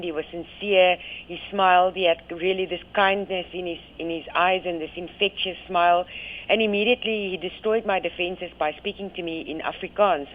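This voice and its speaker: A South African woman, a radio guest talking by phone, hesitant with many ums and restarts. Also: lowish voice. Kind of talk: phone interview. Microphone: phone line. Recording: tinny phone line.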